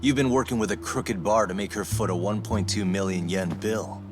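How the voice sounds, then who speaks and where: A man acting as a cool, sardonic game detective. He speaks in a lowish voice with dry confidence and a taunting edge, with music clearly underneath. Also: fairly even intonation, normal speed, natural voice. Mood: sardonic, accusing. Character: cool, sardonic male investigator confronting wrongdoers. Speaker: voice actor in a video game. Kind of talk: dramatic game cutscene dialogue, English dub.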